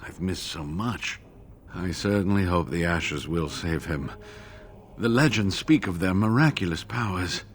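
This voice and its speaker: A man playing an injured, earnest scholarly monk in a video game, speaking in a halting, expressive way. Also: deep voice, a little hiss or hum. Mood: concerned. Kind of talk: dramatic video game dialogue.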